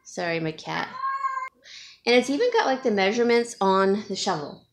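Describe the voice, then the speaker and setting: A woman's voice: medium pitch, animated, moderate pace. A woman who works as a home stager, talking casually to her viewers in a rambling, chatty way, with a sniffle from allergies. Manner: rambling, chatty, with fillers and small laughs. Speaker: home stager and DIY vlogger. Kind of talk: casual chat to camera. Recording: echoey room, distant from mic, faint hiss.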